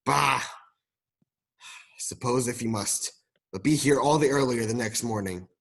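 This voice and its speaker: A man playing a grumpy, scornful old miser of a businessman in a radio play, with a curt, husky voice. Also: mid-range voice, unhurried, fairly bright tone.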